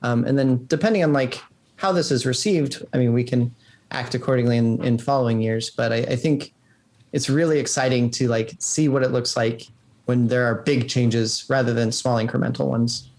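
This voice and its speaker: Mid-pitched man, casual developer interview. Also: normal speed, darkish tone. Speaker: game developer guest.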